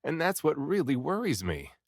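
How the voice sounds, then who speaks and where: A man voicing a storybook castaway, excitable and self-doubting, who exclaims and mutters dramatically to himself. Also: on the high side, moderate pace, no echo, studio quality, pitched above the performer's own voice, heavily acted. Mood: uneasy. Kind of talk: storybook character dialogue.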